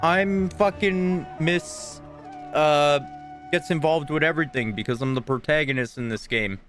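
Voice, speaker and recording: A man's voice: fairly high pitch, a little slow, a bit bright. Man casually rambling over game music. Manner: rambling, thinking aloud. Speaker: game streamer. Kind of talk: casual gameplay chat. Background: video game music and dialogue.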